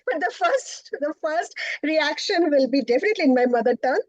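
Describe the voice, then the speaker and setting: A middle-aged woman from Bengal with an Indian English accent, a poet and professor speaking as a guest, often laughing and thoughtful with pauses. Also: high voice, expressive, no room sound, lo-fi sound. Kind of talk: interview conversation.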